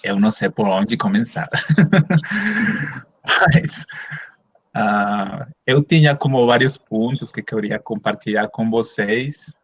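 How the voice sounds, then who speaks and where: A man from Colombia, a Spanish native speaker, giving an academic talk in a second language over a video call, hesitant with many drawn-out fillers. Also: medium pitch, lively intonation, husky. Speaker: guest speaker, anthropologist. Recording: dull lo-fi video call audio.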